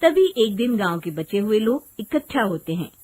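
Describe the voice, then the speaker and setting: A woman's voice: medium pitch, very animated, moderate pace, darkish tone, slightly rough. A woman narrating a scripted children's story.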